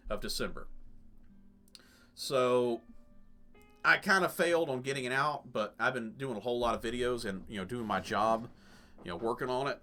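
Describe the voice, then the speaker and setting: Male let's play host, middle-aged, conversational and animated, bright voice with music behind. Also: mid-range voice. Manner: rambling, conversational. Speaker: gaming host. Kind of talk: casual let's play commentary. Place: small cluttered indoor room.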